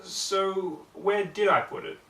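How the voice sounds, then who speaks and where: A man's voice: high-pitched, lively intonation, slow, gravelly, a bit bright, voice pushed up, full character voice. A man voicing a refined, eager female unicorn pony in an amateur storybook reading. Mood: curious, uneasy. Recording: roomy, distant mic, faint noise.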